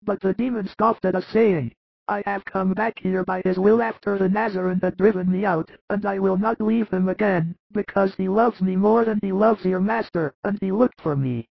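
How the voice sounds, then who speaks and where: A man voicing excited, amazed male disciples who tell their story eagerly, with a gravelly edge. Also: sits high, moderate pace, no room sound, pitched above the performer's own voice, full character voice. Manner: eager, wondering, breathless telling. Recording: muffled dry recording.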